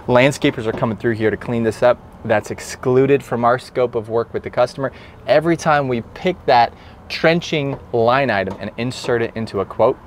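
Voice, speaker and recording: A man's voice: lowish voice, slight background noise. A talkative man with a nasal voice, an electrician explaining his work on the job in a casual, upbeat way. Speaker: electrician and instructor.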